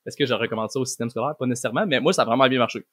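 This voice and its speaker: A man's voice: medium pitch, very fast, fairly bright tone, husky, no room sound, studio quality. A man with a Québécois French accent, a guest language coach explaining things casually and in slangy, informal words. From Quebec, Canada.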